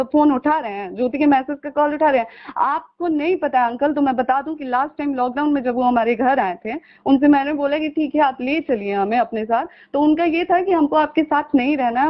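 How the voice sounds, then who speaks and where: A young woman, arguing on a recorded phone call in an insistent, pleading way and repeating herself. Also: high voice. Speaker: caller. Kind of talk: heated private phone conversation.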